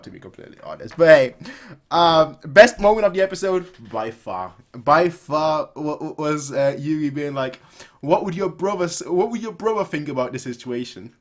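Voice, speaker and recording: Young man rambling in casual reaction commentary. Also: on the high side. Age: 20s. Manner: animated, rambling, repeats phrases for emphasis. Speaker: reaction video host. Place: small room at home, indoors.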